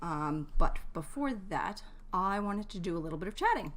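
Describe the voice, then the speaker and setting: A woman's voice: lowish voice, expressive, moderate pace, a bit bright. A woman streamer chatting casually to her viewers from home in an informal, giggly, chatty way. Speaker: game streamer. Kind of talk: casual livestream chat with viewers. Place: at home, in a shared space.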